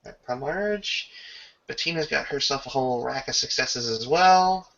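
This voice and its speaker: A man's voice: mid-range voice, normal speed, creaky, fairly bright tone, highs cut off. A man narrating a tabletop roleplaying game as game master, with ornate, descriptive storytelling.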